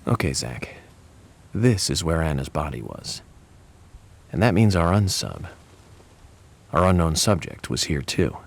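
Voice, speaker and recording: An actor playing a self-assured, coolly analytical male federal investigator in a video game, measured and matter-of-fact as he lectures. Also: very deep voice, very animated, gravelly, full character voice. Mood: musing. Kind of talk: video game cutscene acting.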